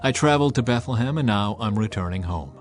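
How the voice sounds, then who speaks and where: A man's voice: lowish voice, expressive, quick pace, lightly acted. A man acts out a determined traveling husband in an audio drama. He is plain-spoken, firm and matter-of-fact, with music running beneath his words. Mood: explaining. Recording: music bed under the voice.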